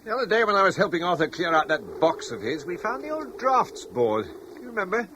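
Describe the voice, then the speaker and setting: A middle-aged male commander in a radio play. He speaks with calm, reassuring authority, and his voice moves up and down freely. A faint hiss sits under the old recording. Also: high-pitched, a little fast. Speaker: husband and local guard commander. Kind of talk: radio drama acting.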